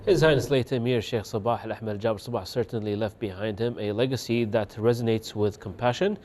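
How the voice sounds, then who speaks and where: A man's voice: on the low side, fairly quick, darkish tone, slight background noise. A man presenting the news, reading a script in a formal manner.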